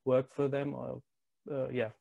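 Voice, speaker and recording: A German man hosting an interview in English, feeling his way through sentences with halting restarts. His voice has a gravelly fry at a middle pitch. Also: monotone, warm tone, dull audio. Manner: hesitant, many restarts and repeated words. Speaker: podcast host, interviewer. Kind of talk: casual interview chat.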